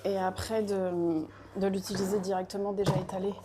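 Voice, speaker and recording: A woman's voice: mid-range voice, level tone, normal speed, background noise. A young woman from France chatting casually while she bakes in her kitchen workshop, hesitant, with many fillers and restarts. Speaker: pastry baker vlogger. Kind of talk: casual chat while baking.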